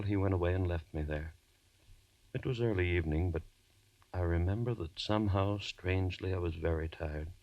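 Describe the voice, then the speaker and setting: Low-voiced haunted accused man. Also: no echo. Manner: dramatic brooding monologue. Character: haunted accused murderer, brooding biology teacher, voicing his anguished thoughts. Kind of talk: 1940s radio acting. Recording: dull old 1940s radio recording.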